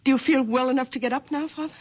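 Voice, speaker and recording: An actress playing a worried, caring middle-aged daughter in a 1970s radio drama, speaking gently and pleading. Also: female voice, sits high, fairly even intonation, rapid-fire, breathy edge, dull audio.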